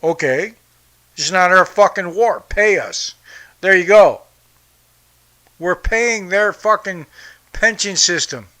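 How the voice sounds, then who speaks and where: A man from the United States hosts his own podcast, delivering a blunt, profane political rant. His voice sits a bit high and sounds bright and forceful. He talks in a combative way that never lets up. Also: slow, pauses often. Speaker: podcast host. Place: home in Brazil.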